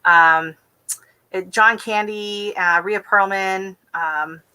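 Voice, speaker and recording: A woman's voice: medium pitch, fairly even intonation, takes it slowly, pushed and bright, clear, resonant tone. An American woman from Texas chatting casually on her gardening live stream, rambling with lots of fillers. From Texas, USA. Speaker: gardening channel host.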